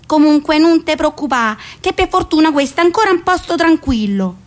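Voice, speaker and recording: A woman's voice: sits high, animated, fairly quick, pitched above the performer's own voice. A woman playing a protective, cautious rural mother, giving anxious instructions with a central Italian accent in a theatrical monologue. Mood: worried instructing. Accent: central Italian, Roman area.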